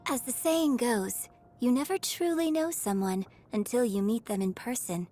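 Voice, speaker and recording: A woman's voice: fairly high pitch, moderate pace, mellow, close to an everyday voice. A woman voicing a gracious young opera troupe director in a video game, with formal, poised and theatrical phrasing. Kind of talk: scripted video game character lines. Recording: music playing behind the voice. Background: music.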